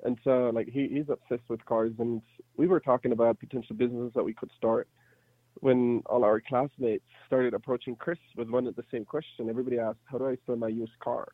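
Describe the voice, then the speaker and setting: A man's voice: medium pitch, steady tone, a little fast, no room sound. German-accented man, thin phone-like sound. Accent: slight German. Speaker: interview guest, startup entrepreneur. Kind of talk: casual conversational storytelling.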